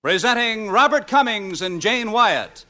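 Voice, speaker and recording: Male American old-time radio announcer, high and very animated, music behind. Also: measured pace. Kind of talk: golden age radio announcing.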